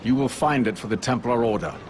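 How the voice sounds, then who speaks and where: An actor playing a commanding older male leader who gives orders deliberately. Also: medium pitch, noisy background, close to an everyday voice. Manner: authoritative, deliberate.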